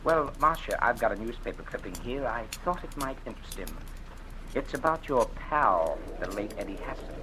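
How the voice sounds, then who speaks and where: An actor playing a smooth, sleazy former insurance investigator who blackmails a woman, talking in an oily, taunting drawl, in 1940s radio drama style. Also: medium pitch, animated, moderate pace, background noise, close to an everyday voice.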